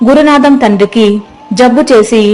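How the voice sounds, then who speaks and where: A woman's voice: fairly high pitch, moderate pace, a little mellow, faint music behind, rough recording. A woman narrating a scripted moral story as its storyteller.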